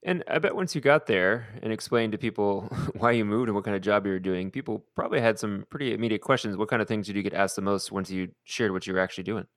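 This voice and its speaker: A man's voice: fairly low pitch, quick pace, few pauses, no echo. A man hosting a podcast, opening the episode and asking friendly, upbeat questions. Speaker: podcast host.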